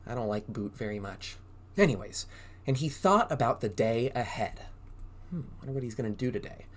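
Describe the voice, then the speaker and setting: A man reading a storybook aloud as a preschool drama teacher, in an exaggerated, animated way, prompting young listeners with questions. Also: mid-range voice, normal speed. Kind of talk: interactive children's read-aloud.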